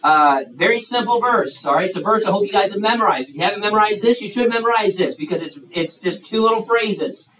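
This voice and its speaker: Thirties guy preaching to kids, bit high voice, folksy and rambly, echoey room far from mic. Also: animated, fast talker, fairly bright tone, a little hiss or hum. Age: 30s. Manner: folksy, chatty, many fillers and restarts. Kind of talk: youth sermon with audience questions.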